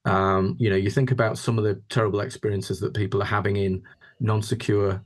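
A man's voice: on the low side, level tone, brisk. A man, giving reflective interview answers on a video call and often repeating words as he goes. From Yorkshire, England. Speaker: interview guest, housing chief executive.

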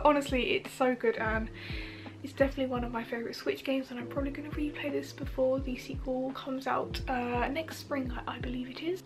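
A young woman in her bedroom, chatting and gushing about games she loves, her nose stuffy from a cold, with fillers and restarts. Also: high-pitched. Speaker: YouTuber. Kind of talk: casual game recommendations. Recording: music under the voice. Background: soft background music.

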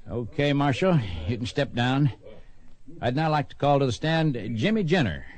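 An actor playing an orderly, patient judge in a radio western, formal yet familiar. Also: male voice, mid-range voice, animated, moderate pace, close to an everyday voice. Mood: official. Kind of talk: radio western drama acting.

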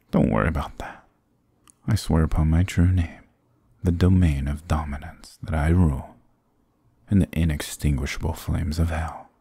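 Casting: male actor for an arrogant demon king in ASMR roleplay, extremely low, dark mellow voice, sardonic and condescending. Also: vocal fry, breathy edge, no echo, studio quality, full character voice. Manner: sardonic, taunting, condescending, theatrical.

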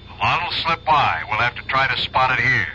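An actor playing a brisk, authoritative chief of detectives in a 1970s radio drama. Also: male voice, medium pitch, animated, sharp tone. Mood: brisk, businesslike. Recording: dull, faintly hissy 1970s radio recording.

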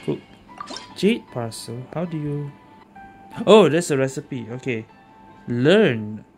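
A woman's voice: very deep voice, sing-song, slow pace, darkish tone, faint music behind. A woman giving casual gameplay commentary as a streamer, muttering and repeating short phrases. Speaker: gaming streamer.

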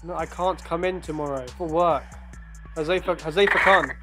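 A man's voice: a little high, fairly even intonation, unhurried, music in the background. A young man chatting casually and making prank calls as host from a bedroom. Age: young adult. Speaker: prank call video host. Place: small bedroom, indoors.

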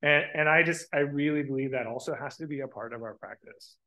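A middle-aged man giving a conference keynote from a podium in a lecture room, thoughtful and halting, restarting his phrases. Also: a little high, pushed and bright. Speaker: keynote speaker. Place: lecture room with large windows. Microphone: podium microphone.

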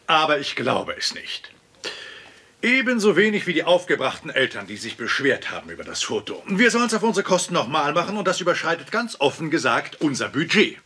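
An actor in a German sitcom dub playing a stern, condescending school principal who lectures in a formal, pompous manner. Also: male voice, fairly high pitch, pushed and bright, husky, exaggerated voice.

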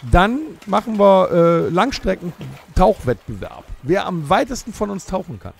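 Guy podcast host, kinda high voice, lots of fry, explains stuff in detail. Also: very animated, unhurried. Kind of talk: casual banter in a podcast radio-play special.

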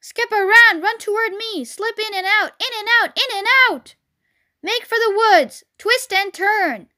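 A frantic, bossy goose from a children's storybook, acted out by a woman. The voice is very high and sharp, pushing out urgent orders and warnings with insistent repetition. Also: lively intonation, normal speed, full character voice. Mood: frantic commanding. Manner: urgent, repeats phrases insistently. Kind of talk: animal storybook voice.

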